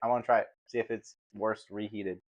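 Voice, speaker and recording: A man's voice: lowish voice, steady tone, fairly quick. A man in casual, teasing banter as a livestream co-host, with a jokey, chuckle-prone manner.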